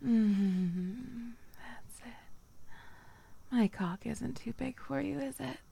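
Whispering woman, slow and husky. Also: fairly high pitch. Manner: dominant and teasing, soft whispers between moans. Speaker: audio roleplay performer. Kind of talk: whispered intimate audio roleplay.

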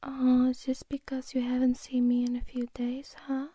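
A woman's voice: high-pitched, completely flat delivery, normal speed, warm tone, breathy edge, no room sound. A woman whispering in a teasing way, playing a girlfriend in an intimate audio roleplay.

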